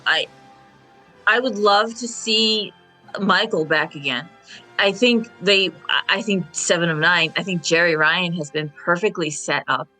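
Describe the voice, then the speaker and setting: A woman's voice: mid-range voice, sharp tone, no room sound, rough recording. A woman chats casually as a guest on a panel discussion. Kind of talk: casual chat.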